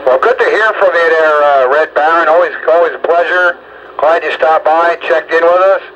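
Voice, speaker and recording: A man's voice: fairly high pitch, fairly quick, fairly bright tone. A man chatting casually over two-way radio in a folksy way, often repeating himself as he runs the conversation. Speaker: amateur radio net operator. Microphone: radio microphone. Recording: muffled, noisy two-way radio transmission.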